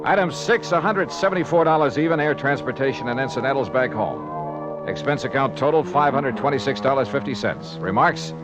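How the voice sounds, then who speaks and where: An actor playing a wry, tough insurance investigator in a 1950s radio drama, talking and narrating in a punchy hardboiled way. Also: male voice, medium pitch, expressive, brisk, close to an everyday voice. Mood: wry, clipped. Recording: muffled vintage radio recording with music.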